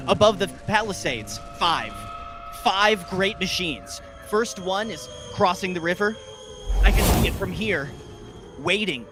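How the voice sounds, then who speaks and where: Actor as a grave, high-pitched doomed radio newsman. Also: natural voice. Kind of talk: dramatic old-time radio drama reporting.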